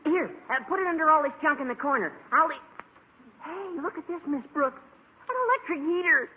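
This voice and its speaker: Eager schoolboy schemer, golden-age radio sitcom, very high squeaky-young pitch, noisy recording. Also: male voice, a little fast, narrow, tinny audio. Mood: eager, scheming. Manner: excitable, gee-whiz earnestness. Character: eager, scheming teenage schoolboy, flustered before authority. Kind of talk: 1940s-50s radio sitcom acting.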